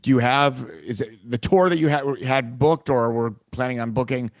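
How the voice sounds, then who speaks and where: A man's voice: medium pitch, normal speed, no echo. A man hosting a music podcast, telling stories and asking questions with chuckles and frequent restarts. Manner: storytelling, chuckling, restarts often. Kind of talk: casual interview chat. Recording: dry close mic.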